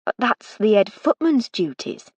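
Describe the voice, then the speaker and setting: A humble, eager young footman, read by a woman. He speaks plainly and deferentially. The voice is husky and dry. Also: fairly high pitch, big pitch swings, unhurried, no echo, exaggerated voice.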